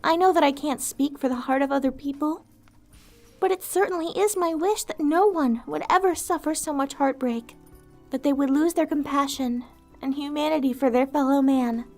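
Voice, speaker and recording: A woman voicing a gentle, weary female vampire outcast in an ASMR roleplay, soft-spoken, wistful and earnest, with formal wording. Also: high voice, normal speed, faint music behind. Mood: earnest, compassionate.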